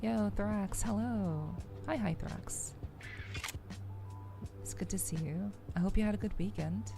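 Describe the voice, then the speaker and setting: A soft-spoken woman hosting a livestream, chatting casually in an ASMR style with hesitant, gentle game commentary. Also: medium pitch, measured pace, creaky. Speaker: streamer, VTuber host. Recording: music playing behind the voice. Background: music.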